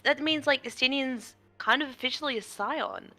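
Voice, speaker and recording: A woman giving casual, expressive, gushing reactions as co-commentator on a game stream. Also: high voice, moderate pace.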